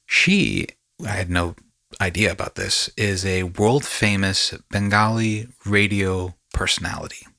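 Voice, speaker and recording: A male podcast host with a fairly low voice and a bright, punchy edge. He rambles through stories conversationally, dropping in slangy asides. The sound is dry and close. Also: a little slow, gravelly, dry recording.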